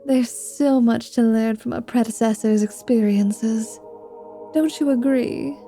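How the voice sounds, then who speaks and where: A woman's voice: a little high, steady tone, takes it slowly, warm tone, faint music behind. A woman playing a sly, flirty, irreverent vampire who poses as a pious paladin, teasing and sarcastic, in an ASMR roleplay drama. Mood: feigned piety. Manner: teasing, sarcastic, feigned piety.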